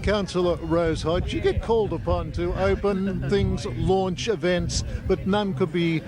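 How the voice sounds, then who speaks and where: A man's voice: high voice, fairly even intonation, measured pace. An Australian man working as a television field reporter, interviewing on location in a chatty, folksy way. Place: outdoors at a community fundraising event. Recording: outdoor event noise, loud music behind.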